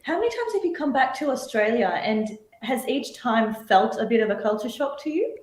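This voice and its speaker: A woman's voice: fairly high pitch, animated, brisk, darkish tone, echoey room. A woman hosting a podcast interview over a video call, offering frequent short affirmations and thoughtful follow-up questions. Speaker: podcast host. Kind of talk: casual interview chat.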